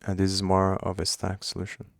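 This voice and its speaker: A man's voice: sits low, monotone, fairly quick, gravelly, no room sound, clean studio sound. A young man from Brazil with a Brazilian Portuguese accent, speaking English as a second language, chatting casually and thinking aloud while coding on a livestream. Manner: thinking aloud, restarts and trailing phrases. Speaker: coding streamer.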